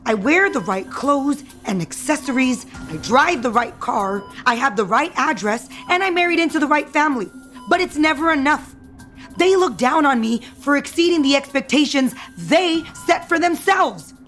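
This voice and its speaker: Soap opera schemer: proud, bitter wealthy wife, higher voice, cutting delivery, music underneath. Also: female voice, lively intonation, moderate pace, fairly bright tone. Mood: bitter, indignant. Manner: haughty, indignant, cutting. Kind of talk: audio soap opera acting.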